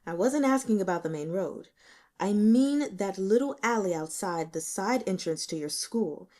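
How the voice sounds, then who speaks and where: A woman's voice: mid-range voice, animated, moderate pace, mellow, lightly acted. A woman voicing a blunt, stern male police investigator in an audiobook, pressing with pointed questions. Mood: probing. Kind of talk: audiobook character dialogue.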